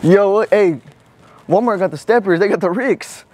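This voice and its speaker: A man's voice: sits high, normal speed, darkish tone, no room sound. A young American man bantering casually in a slangy, profane way as he pulls pranks in public. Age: young adult. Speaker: prankster, video host. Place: large indoor supermarket. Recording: noisy store ambience, camera microphone.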